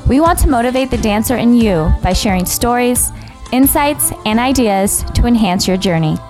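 A woman's voice: on the high side, lively intonation, normal speed, a little mellow. Woman announcing a podcast intro over music. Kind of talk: scripted promotional narration. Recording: music bed under voice. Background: clear music.

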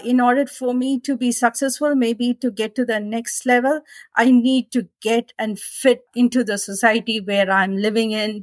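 A woman's voice: fairly high pitch, dry recording. A middle-aged woman from India with an Indian English accent, answering interview questions earnestly and with determination. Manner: earnest and determined, thinks aloud with restarts. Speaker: guest. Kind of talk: conversational interview.

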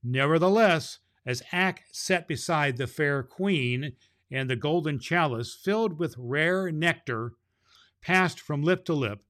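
A man's voice: mid-range voice, a bit bright. A man hosting a children's podcast in the role of Santa Claus, reading a storybook chapter aloud with grandfatherly chuckles.

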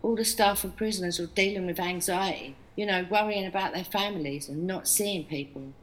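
An actress playing an earnest woman prison manager in an English audio drama, speaking in a measured, reasonable way. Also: lowish voice, close to an everyday voice, rough recording. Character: woman prison staff member, earnest, defensive.

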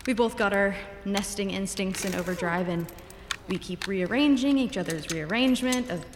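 An actress playing a snappy, quick-witted physicist in her thirties in an audio drama. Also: medium pitch, background noise, close to an everyday voice. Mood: sarcastic. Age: 30s. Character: snappy, sarcastic female physicist, expectant mother. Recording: echoey reverberant room, music behind.

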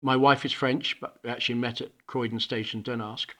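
A man's voice: medium pitch, steady tone, a little fast, sharp tone. A middle-aged man, a founding school principal, talking earnestly in a conversational podcast interview, with many restarts and repeated words. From UK and Hong Kong.

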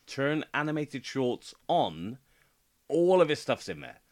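A man's voice: mid-range voice, very animated, slow pace, darkish tone. A man with a British accent co-hosting a casual podcast chat. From United Kingdom. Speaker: podcast co-host.

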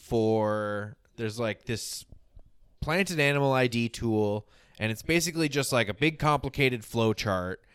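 A person with a deep voice hosting a casual podcast, talking informally and searching for words aloud. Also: a little slow, clean tone, dry recording. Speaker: podcast host.

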